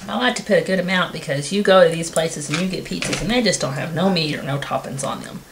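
A woman in her thirties hosting a cooking show, explaining each step in a plain, homey, unhurried way in a rustic wooden kitchen. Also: fairly low pitch. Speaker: home cooking show host. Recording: noisy home video with kitchen clatter.

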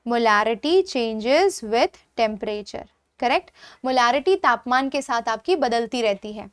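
A young woman with an Indian accent gives an online lecture in a high voice. She moves slowly, dictating in English and explaining in Hindi, and keeps checking that her students understand. The sound is dry, with little room in it. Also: lively intonation, smooth, dry recording. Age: young adult. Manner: addresses students affectionately, checks understanding often. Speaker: chemistry teacher. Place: indoor studio, seated before a digital board.

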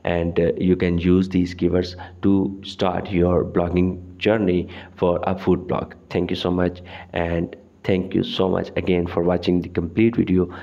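A man whose first language is Urdu gives a screen-recorded tutorial, halting often with fillers and restarts. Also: deep voice, completely flat delivery, gravelly, highs cut off. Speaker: tutorial host.

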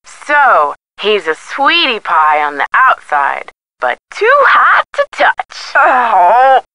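A woman's voice: fairly high pitch, wildly swooping pitch, slow pace, sharp tone, no room sound, heavily acted, rough recording. An actress playing a detective's chatty, witty young female friend in a video game, with a playful, teasing manner. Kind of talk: scripted video game dialogue.

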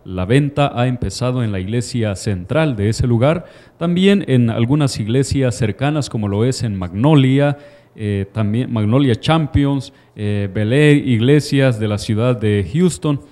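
A mellow, relaxed young man reports religious news in a TV studio. He holds a handheld mic and speaks formally and devoutly at a medium pitch. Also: a little slow. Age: young adult. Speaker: news presenter. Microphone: handheld microphone.

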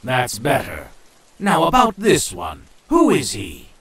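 A menacing demon crime boss played by a man speaks in a creaky voice that swings wildly in pitch. He is cold, mocking and commanding, heard a little off the mic in an audio comic. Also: huge pitch swings, takes it slowly, fairly bright tone, distant mic, exaggerated voice. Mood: suspicious. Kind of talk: audio comic dub acting.

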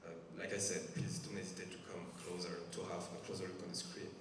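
Low-voiced French man in a big hall. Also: flat delivery, a bit bright, a little hiss or hum. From France. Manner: slow, careful explanations with frequent hesitations. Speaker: physician speaker. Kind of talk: medical talk to a patient group. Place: large church hall with a stage. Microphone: handheld microphone. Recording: echoey hall from far off.

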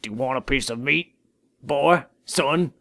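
A man's voice: medium pitch, measured pace, bright, forceful tone. A man voicing a sleazy, menacing villain in an amateur cartoon parody, taunting and sarcastic. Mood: creepy, coaxing.

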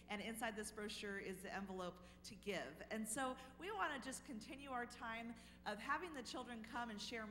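A woman's voice: fairly high pitch, a bit bright. Woman making church announcements, echoey. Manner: friendly, informal, with fillers. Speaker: ministry speaker at church service. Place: large church sanctuary. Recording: echoey church hall with faint hum.

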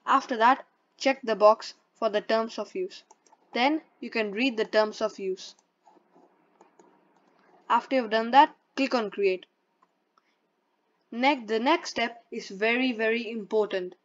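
A woman's voice: fairly high pitch, takes it slowly, pauses often. A woman presenting a step-by-step tutorial in a plain, instructional way, reading from a script. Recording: dull lo-fi voice-over.

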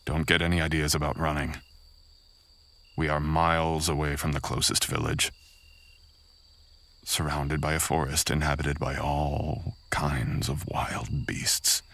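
A man playing a menacing ronin, extremely deep. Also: level tone, a little slow, pauses often, a bit bright, exaggerated voice. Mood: taunting. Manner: cold, threatening, mocking, partly whispered. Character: dominant, menacing male samurai ronin abductor. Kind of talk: boyfriend ASMR roleplay.

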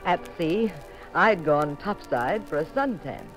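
A woman's voice: on the low side, animated, takes it slowly, faint music behind, low-quality recording. An actress playing a detective's wife who wryly narrates her adventure in a 1950s radio mystery. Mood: scene-setting narration. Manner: wry, storytelling.

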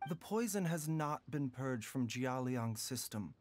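Brief: gentle, ailing male physician, courteous and soft, voiced by a man, game. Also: mid-range voice, unhurried, slight background noise, close to an everyday voice. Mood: explaining. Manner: calm, courteous and soft-spoken. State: coughing, weakened. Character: gentle, frail young male doctor hiding illness. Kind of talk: video game voice acting.

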